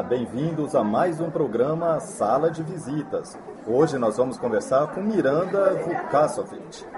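A man hosting a relaxed interview show, asking friendly, encouraging questions. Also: medium pitch. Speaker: talk show host.

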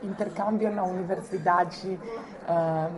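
A fairly low-voiced woman with a Croatian accent, talking in a second language. She picks her words slowly and laughs easily between phrases. A clear murmur of people sits behind her. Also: steady tone, a crowd in the background. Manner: searching for words, warm, often laughing. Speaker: guest. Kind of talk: casual interview chat.

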